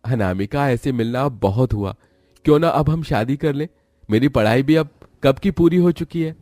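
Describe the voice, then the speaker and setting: A man's voice: mid-range voice, moderate pace, dark tone, dry recording, clean studio sound, close to an everyday voice. A man voicing an earnest, devoted young suitor from a wealthy family in a cartoon story. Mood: earnest, reassuring. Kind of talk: Hindi cartoon story voice acting.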